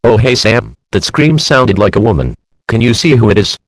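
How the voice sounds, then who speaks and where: A man acting as a newsroom staffer in a horror audio drama. His voice is fairly low and sounds very dry, with scripted dialogue delivery. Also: animated, moderate pace, slightly rough, no room sound. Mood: alarmed.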